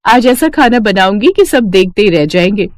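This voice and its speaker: A woman's voice: medium pitch, animated, normal speed, a little hiss or hum, lightly acted. A woman voicing an eager young daughter-in-law who loves cooking, in a cartoon story. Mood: proud. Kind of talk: Hindi cartoon story dialogue.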